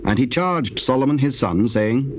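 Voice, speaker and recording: A man narrating dramatized scripture in a formal, solemn and measured style. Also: mid-range voice, lively intonation. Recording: noisy, hissy old cassette tape.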